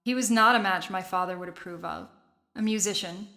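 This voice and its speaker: A woman playing an older Sicilian woman who narrates her youth in a radio play, measured, confiding and wistful. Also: medium pitch, heavy background noise, lightly acted. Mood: wistful, sensual.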